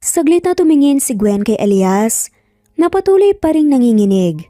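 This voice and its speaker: A woman's voice: high-pitched, very animated, normal speed, dark tone, dry recording, studio quality. A woman with a Filipino accent reading a scripted story as a dramatic narrator.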